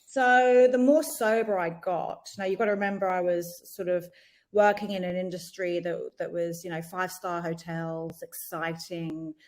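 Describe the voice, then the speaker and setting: A woman's voice: fairly low pitch, fairly even intonation, moderate pace, darkish tone. Frank woman in her forties. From England. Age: 40s. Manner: frank and reflective, with hesitant fillers. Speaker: podcast guest. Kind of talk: candid conversational interview.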